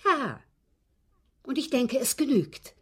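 Haughty old lady with a husky, swooping voice. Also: sits high, big pitch swings, slow, a bit bright, exaggerated voice. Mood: curt, haughty. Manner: precise, formal, haughty, dryly scornful. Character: proud, articulate old English widow, coolly confessing to police. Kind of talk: radio drama acting.

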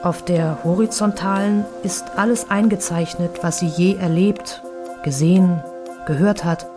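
Low-pitched woman narrating, music behind. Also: a little slow. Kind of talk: scripted literary narration in a radio play. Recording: studio voice over prominent music.

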